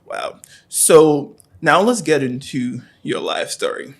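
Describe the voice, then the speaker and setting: Relaxed male interviewer from Africa. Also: fairly high pitch, takes it slowly, creaky. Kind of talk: conversational interview.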